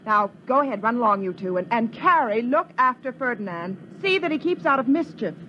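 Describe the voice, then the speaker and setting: An actress playing a prim, patient older sister who coaxes and chides children in a 1950s radio drama. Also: female voice, on the high side, expressive, a little fast, natural voice. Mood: chiding. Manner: proper, coaxing, gently chiding. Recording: dull, noisy vintage radio recording.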